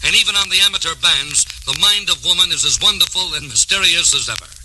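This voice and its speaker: A man's voice: on the high side, fast, background noise. An American man narrating a scripted 1950s promotional documentary in a formal, dramatic announcer style. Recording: old vinyl record with music bed.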